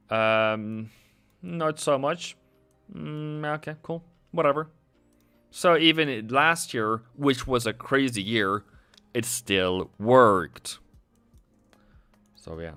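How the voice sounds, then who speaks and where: Man, trading stream host, chatty and sarcastic, bright sharp tone, soft music under. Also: mid-range voice. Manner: chatty, sarcastic, jokey with viewers. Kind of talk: casual livestream chat.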